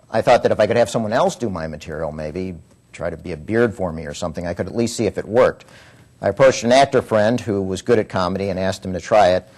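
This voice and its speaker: A comedy writer reading a prepared talk to an audience, wry and deadpan with comic timing. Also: male voice, sits low.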